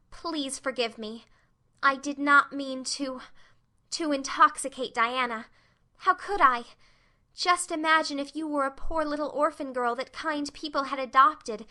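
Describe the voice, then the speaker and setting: A woman voicing an excitable, dramatic young orphan girl who talks in big words, in a storybook reading. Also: high voice, pitched above the performer's own voice.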